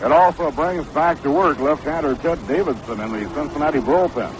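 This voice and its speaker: An American man calling baseball play-by-play in 1960s radio style, with a measured, unhurried, factual delivery. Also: a little high. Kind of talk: 1960s live radio sports commentary. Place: ballpark broadcast booth. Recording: dull, noisy 1960s AM radio broadcast.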